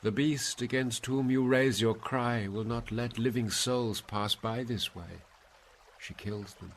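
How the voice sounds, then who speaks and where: A man's voice: mid-range voice, slow pace, pitched above the performer's own voice. A man voicing the grave ghost of an ancient Roman poet, guiding with solemn, oratorical delivery in a story reading. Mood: grave warning. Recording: noisy, hissy background.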